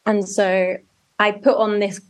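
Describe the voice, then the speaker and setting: A woman's voice: mid-range voice, takes it slowly. A woman from Norwich, chatting as a guest in an interview over a video call, telling stories and often correcting herself. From Norwich, England. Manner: chatty, self-correcting, animated storytelling. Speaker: guest, music media professional.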